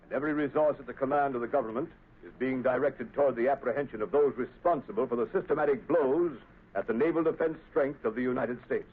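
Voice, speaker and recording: An actor playing a formal navy admiral reading an official statement in an old radio drama. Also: male voice, mid-range voice, fast, lightly acted. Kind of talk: 1930s radio drama acting. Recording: tinny lo-fi old radio with faint hiss.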